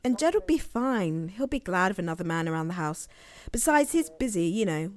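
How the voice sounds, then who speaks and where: An actress playing a blunt, protective, exasperated older sister with an English accent in a naturalistic audio drama. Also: female voice, fairly low pitch, very animated, moderate pace, no echo, studio quality. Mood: resolute, bitter. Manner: emphatic, blunt, repeating phrases.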